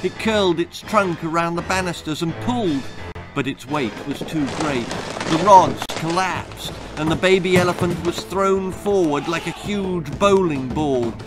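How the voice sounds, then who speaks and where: A man in his sixties reads a children's tale in a theatrical, expressive and deliberate way. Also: fairly high pitch, over music. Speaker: author reading his own stories. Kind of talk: dramatic children's storytelling, scripted narration. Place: small book-lined room indoors.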